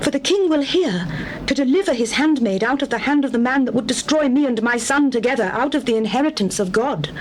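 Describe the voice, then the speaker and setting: A pleading widow addresses a king in formal, archaic language. Her voice is high and theatrical, the supplication of a mourner in a scripture drama. Faint noise sits behind the recording. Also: lively intonation, fairly quick. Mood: earnest deference.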